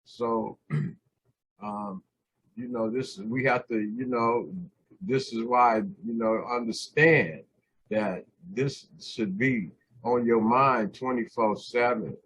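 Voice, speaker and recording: An older man hosting a talk show, hoarse, clearing his throat often and saying "you know what I'm saying" a lot. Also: medium pitch, slow pace, a bit bright, rough recording. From California. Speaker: host. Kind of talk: casual talk show monologue.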